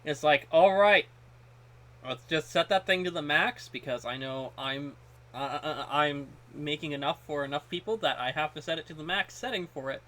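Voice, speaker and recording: A man chatting casually as a podcast co-host, haltingly, often repeating the start of his phrases. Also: fairly high pitch, pushed and bright. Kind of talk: casual chat about food.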